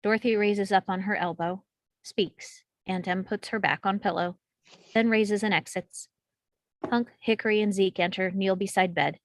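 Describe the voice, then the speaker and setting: A woman with a mid-pitched voice narrates stage directions from a script. The sound is close and dry, with no room around it. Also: fairly even intonation, moderate pace, no echo.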